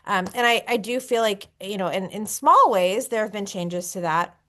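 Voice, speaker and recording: A woman scholar speaks off the cuff in an academic discussion. Her voice sits at a middle pitch. She is earnest and halting, often restarting and rewording as she goes. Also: animated, sharp tone.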